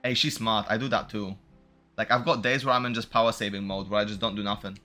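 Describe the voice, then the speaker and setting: Bright-voiced upbeat young man streaming games. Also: on the low side, fairly even intonation, fast. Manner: upbeat, slangy, chatty with viewers. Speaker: game streamer. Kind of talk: casual livestream game commentary. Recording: music playing behind the voice.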